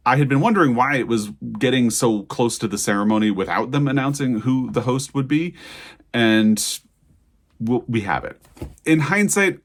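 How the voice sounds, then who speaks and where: An American man with a fairly low voice talks casually as a book reviewer on his own vlog. He wanders conversationally from point to point, thinking aloud as he goes. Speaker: book reviewer and channel host.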